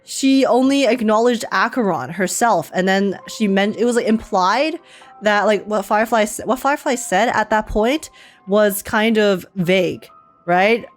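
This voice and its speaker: A woman's voice: medium pitch, unhurried. A woman giving casual live reaction commentary, informal and rambling as she thinks aloud. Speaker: streamer reacting to a game. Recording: game music in the background. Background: video game music and dialogue.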